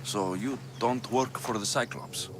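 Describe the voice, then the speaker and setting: An actor playing a young, sardonic mercenary in a video game, dry and gruff. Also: male voice, medium pitch, measured pace, background noise, natural voice. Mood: suspicious. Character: weary, sardonic young male Greek mercenary. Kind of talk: video game cutscene acting.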